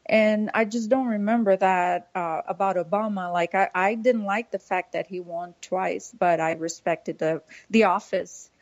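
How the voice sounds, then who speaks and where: A woman's voice: medium pitch, measured pace, hardly any pauses, no echo, muffled recording. A woman from Brazil, co-hosting a podcast, chatting casually about politics with plenty of fillers. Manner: chatty, rambling, full of fillers and laughs. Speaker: podcast co-host.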